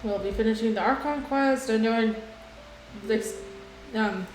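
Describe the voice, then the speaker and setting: A woman's voice: on the high side, a little slow, darkish tone. A woman chatting casually as she livestreams a video game, with game music behind her. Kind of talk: casual livestream gaming chat. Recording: echoey room, music behind.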